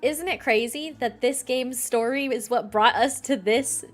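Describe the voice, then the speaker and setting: A woman's voice: fairly high pitch, a little slow. A woman doing casual, informal gameplay commentary as a streamer, giggly and reacting aloud. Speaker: game streamer. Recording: game music behind the voice.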